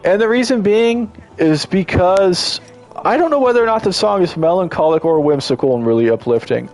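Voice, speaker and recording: A man's voice: on the high side, darkish tone. A congested man giving casual, enthusiastic gameplay commentary as a streamer, rambling and restarting his sentences often.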